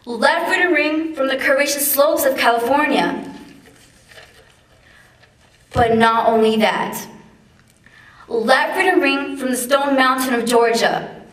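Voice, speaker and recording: High-voiced young woman reciting in an echoey hall. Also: takes it slowly, pauses often, a bit bright. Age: young adult. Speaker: student reader. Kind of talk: dramatic reading of a famous speech. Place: large echoey room at a public event. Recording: echoey hall, far from the mic.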